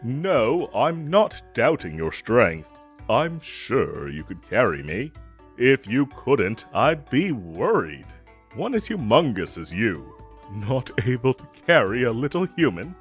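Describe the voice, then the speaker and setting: A gallant fantasy knight, acted by a man, speaks in grand, old-fashioned phrases with a playful edge. His pitch swings expressively and his delivery is unhurried, in the manner of an audio roleplay. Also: sing-song, soft background music. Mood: teasing. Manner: formal, archaic, grandiose phrasing. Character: gallant, theatrical knight, honorable with a playful teasing streak.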